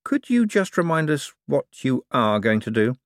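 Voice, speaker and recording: A skeptical young woman, voiced by a male audiobook reader in a raised, lightened pitch. She asks probing, pointed questions in a lively, dry-sounding delivery. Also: moderate pace, no room sound. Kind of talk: audiobook character voice.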